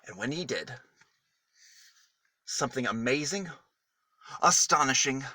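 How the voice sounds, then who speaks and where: A man reading a children's story aloud in a dramatic, deliberate manner with emphatic pauses. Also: medium pitch, expressive, bright, forceful tone, no room sound, studio quality.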